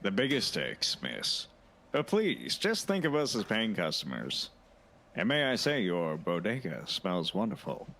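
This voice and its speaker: A man playing a very tall, boastful detective and sausage artist in a comedic audio drama, speaking formally and deadpan. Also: deep voice, a little slow, a little hiss or hum, heavily acted. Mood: smug, courtly.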